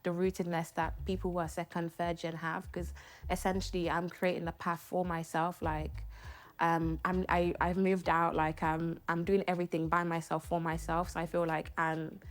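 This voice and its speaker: A woman from Nigeria, a first-generation immigrant, talking thoughtfully in a documentary interview with frequent pauses and fillers. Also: lowish voice, little pitch movement. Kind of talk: reflective interview talk.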